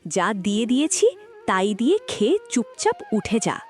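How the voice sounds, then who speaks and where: A woman voicing a cruel, scheming village stepmother in a cartoon story, scolding and sharp-tongued. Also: fairly high pitch, sing-song, moderate pace. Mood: scornful, scolding. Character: cruel scheming village stepmother favouring her own son. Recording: music behind the voice.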